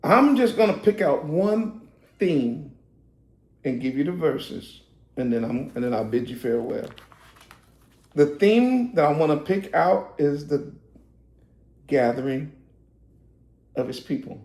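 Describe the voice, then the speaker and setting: A man preaches in a high, gravelly voice. He shouts and laughs through his asides, then slows down to read scripture. The room rings with echo. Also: animated. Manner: loose, shouting and laughing, then slow verse reading. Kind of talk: street-style sermon teaching.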